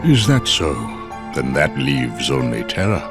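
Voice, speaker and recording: A man's voice: extremely low pitch, very animated, measured pace, exaggerated voice. A man playing a grave, wise old sorcerer in a video game, speaking in a solemn, formal manner. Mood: grave, foreboding. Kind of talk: video game cutscene acting. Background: background music.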